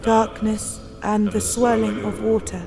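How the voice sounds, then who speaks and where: A woman's voice: mid-range voice, flat delivery, a little slow, vocal fry, mellow, soft background music. An actress playing a young Victorian woman in an audio drama, strangely certain and formal in her speech. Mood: entranced.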